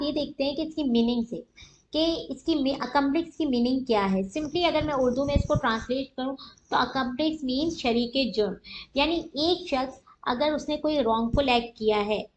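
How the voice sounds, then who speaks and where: Echoey, high-voiced Pakistani woman lecturing. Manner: didactic, asks and answers own questions. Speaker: law lecturer. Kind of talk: explanatory lecture with everyday examples. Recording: echoey room, dull muffled audio.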